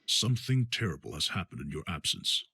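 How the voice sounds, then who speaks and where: A solemn male ruler in a video game who speaks in grave, formal tones. His voice is very low yet moves widely in pitch. The studio sound is dry. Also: sing-song, fairly quick, pushed and bright, breathy edge, dry recording, soft background music, exaggerated voice.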